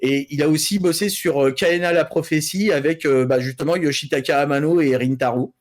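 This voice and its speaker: Halting man, casual geek news chat. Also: on the high side. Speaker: panel columnist on an anime and geek news show. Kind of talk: casual news presentation and discussion.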